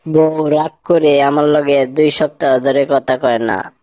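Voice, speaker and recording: A man's voice: fairly high pitch, steady tone, measured pace, a little mellow, dry recording, clean studio sound, close to an everyday voice. A man with a Bangladeshi accent voicing a grumpy, baffled man in a comic cartoon skit, with blunt, questioning delivery. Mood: grumbling, puzzled. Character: grumpy, baffled man, stern boss and troubled friend.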